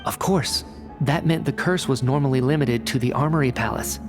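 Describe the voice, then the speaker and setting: An actor playing an analytical male researcher in a video game cutscene, explaining in a measured, cerebral way. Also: medium pitch, natural voice. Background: game score music.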